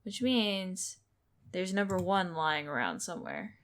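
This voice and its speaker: A woman's voice: lowish voice, big pitch swings, a little slow. A woman giving casual gameplay commentary, thinking aloud as she goes. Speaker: video game streamer. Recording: game music behind the voice.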